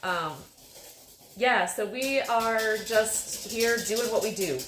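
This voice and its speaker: A woman's voice: fairly high pitch, takes it slowly, fairly bright tone. A woman in her fifties chatting casually as a livestream host, rambling with many fillers. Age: 50s. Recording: echoey room with background music.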